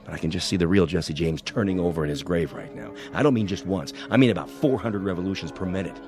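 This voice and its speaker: An actor playing a polite, earnest co-star who objects hesitantly. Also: male voice, deep voice, fast, a little mellow, faint music behind, natural voice. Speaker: actor playing Jesse James.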